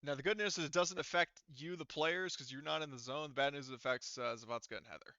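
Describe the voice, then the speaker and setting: A man's voice: a little high, quick pace, pushed and bright, clear, resonant tone, no room sound, lo-fi sound. A man acting as game master in a casual tabletop game, narrating and working out rules aloud in an informal, sweary way. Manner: informal, profane.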